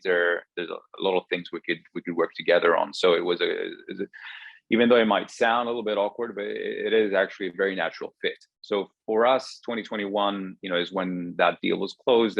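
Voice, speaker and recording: A man's voice: low voice, gravelly, no echo, dull audio. A man in his thirties from Greece, a startup co-founder speaking as a guest on a remote interview, hesitant with many restarts and fillers. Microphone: video call.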